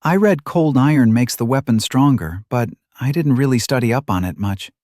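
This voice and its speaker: A man's voice: mid-range voice, expressive, moderate pace, a little mellow, no room sound, studio quality, voice pushed up, natural voice. A man voicing a cocky young male hero, casual and flippant, in a fantasy audiobook. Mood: casual admission.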